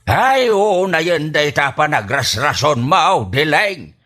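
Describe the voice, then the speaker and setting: A man's voice: on the high side, big pitch swings, takes it slowly, sharp tone, exaggerated voice. A man playing a scolding, exasperated older father with an Ilocano Filipino accent in a comedy radio drama, grumbling and drawing out his exclamations.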